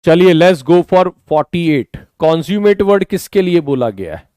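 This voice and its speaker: A man with an Indian accent, slightly hoarse from a sore throat, patiently explaining English vocabulary in an online class, mixing Hindi and English and urging students to answer. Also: a little high, animated, a little slow, mellow, no room sound. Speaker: English teacher.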